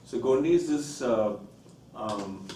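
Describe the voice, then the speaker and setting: A man's voice: mid-range voice, expressive, slow pace, warm tone. A man from India whose first language is Konkani, a film director answering interview questions thoughtfully, with many fillers. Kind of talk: interview conversation. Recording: roomy, distant, faint noise.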